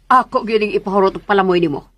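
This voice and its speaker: A woman's voice: medium pitch, fast talker, lo-fi sound, lightly acted. A woman playing a haughty, menacing mistress who gives imperious orders in a Cebuano radio drama. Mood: commanding. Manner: imperious, threatening, commanding.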